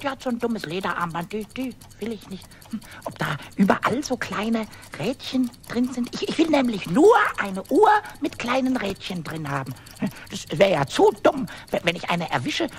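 A woman playing a small, childlike, mischievous kobold in a children's radio play, squeaky, excitable and stammering as it chatters to itself. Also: slight background noise. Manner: stammering, repeating words, muttering to itself.

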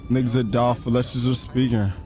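A man's voice: medium pitch, fairly even intonation, moderate pace, mellow. An American man in casual, slangy street-talk banter while roleplaying in an online game.

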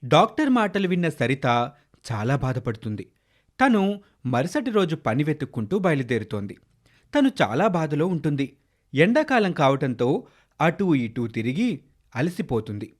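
A man's voice: on the high side, sing-song, normal speed, pauses often. A man narrating a scripted children's moral story.

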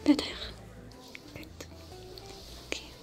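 A woman voicing a young female alien smuggler with a French accent, who gently tends a stranger's wounds in a whispered, soft-spoken ASMR roleplay. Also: takes it slowly, over music, lightly acted. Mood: soothing whisper. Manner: gentle, reassuring, coaxing. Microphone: close to the camera.

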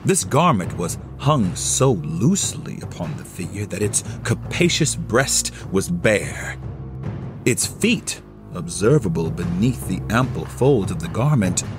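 A man narrating a classic story as a solo audio play, with theatrical, deliberate phrasing. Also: fairly low pitch, big pitch swings. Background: music under the voice.

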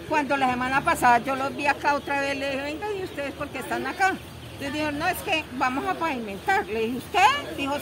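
A middle-aged woman from Colombia, a local resident, complaining in a detailed, indignant way during an outdoor street interview. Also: high voice. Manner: indignant, detailed, gestures while talking. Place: outdoors on a busy town street. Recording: street noise and traffic behind.

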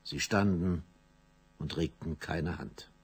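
An actor narrating a radio play as a grave storyteller, in dramatic scripted style. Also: male voice, rumbling low, takes it slowly, slightly rough, full character voice. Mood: grave, intense.

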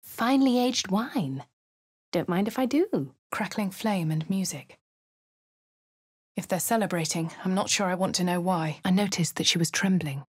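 A woman voicing a refined, posh young adventurer with a British accent, speaking crisp, clipped game lines and narration. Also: fairly low pitch, lively intonation, normal speed, dry recording, clean studio sound. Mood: composed. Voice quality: crisp, well-spoken. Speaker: player character.

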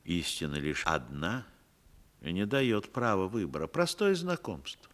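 A haughty, wily mafia boss in a 1960s radio play. His voice sits fairly low but moves widely, with deliberate, sardonic and evasive phrasing. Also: very animated, fairly bright tone, full character voice. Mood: guarded, sardonic. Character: wily, haughty male Sicilian mafia boss under interrogation. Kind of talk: 1960s Soviet radio drama acting.

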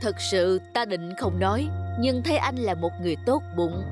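An actor voicing a solemn old male Taoist sage in an animated folk tale, speaking gravely and with measured words. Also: medium pitch, over music, natural voice.

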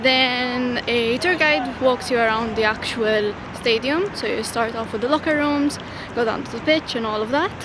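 A woman's voice: a little high, moderate pace, hardly any pauses, background noise. A young woman in her twenties, a travel vlogger chatting to a handheld camera as she walks around a city, laughing often as she describes her plans. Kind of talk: casual travel vlog to camera. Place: outdoors in a city, streets and stadium grounds. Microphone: handheld camera at arm's length.

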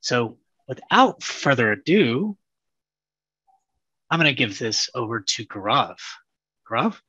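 A man's voice: medium pitch, very animated, takes it slowly, pushed and bright, slightly rough, dry recording, highs cut off. A man hosting an online community show, introducing guests casually and joking about being bad with names. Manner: casual, self-deprecating. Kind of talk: webinar host introduction. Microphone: video call.

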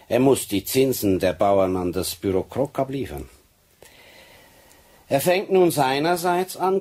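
A methodical police sergeant in a radio crime drama. His voice is low and husky. He lays things out step by step, deliberate and insistent. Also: a little mellow. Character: methodical Swiss police sergeant laying out a case.

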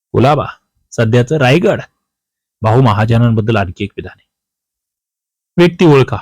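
A man's voice: mid-range voice, no room sound. Man teaching, close dry sound. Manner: repeats key answers, prompts students with questions. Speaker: teacher of competitive exam history. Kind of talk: quiz lesson, reading out questions and explaining answers.

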